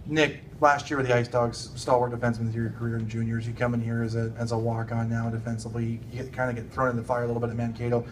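Brisk, even-toned male reporter, off-mic. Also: medium pitch. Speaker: sports reporter asking questions. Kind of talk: press conference questioning. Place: indoor press conference room. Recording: distant mic, faint room noise.

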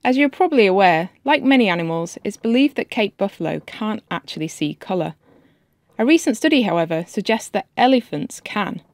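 A woman's voice: mid-range voice, lively intonation, a little fast, darkish tone, no echo. A woman from England in her thirties giving casual vlog commentary with lightly wry narration. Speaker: sailing vlogger.

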